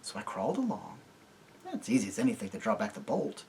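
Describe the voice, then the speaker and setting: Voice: mid-range voice, sing-song, quick pace, vocal fry, a little mellow, slight background noise, pitched below the performer's own voice. A reader voicing a brave, cheeky young girl who talks eagerly. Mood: lively. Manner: eager, pleading.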